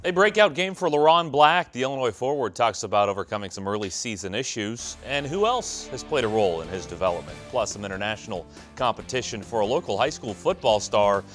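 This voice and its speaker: A man's voice: fairly low pitch, animated, a little fast, hardly any pauses, a bit bright, faint music behind. An American man reading scripted sports news as a television anchor.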